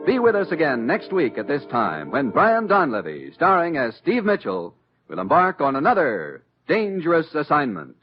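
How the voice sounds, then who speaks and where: A man's voice: on the high side, huge pitch swings, moderate pace, over music. An American man announcing in a polished, emphatic 1950s network radio style. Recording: muffled old radio broadcast recording.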